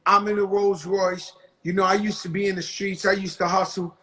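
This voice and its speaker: A man's voice: high voice, level tone, normal speed, pushed and bright. A man from the South Bronx, hosting a casual interview in blunt street slang. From South Bronx, New York. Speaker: host.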